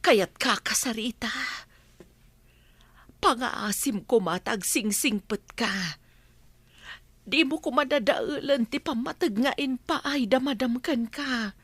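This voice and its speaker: Protective scolding nanny, high voice, dry close sound, ilocano filipino accent, radio drama. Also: female voice, slow pace, pauses often, a bit bright, dry recording, full character voice. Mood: reproachful. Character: older household nanny, loyal, protective, scolding.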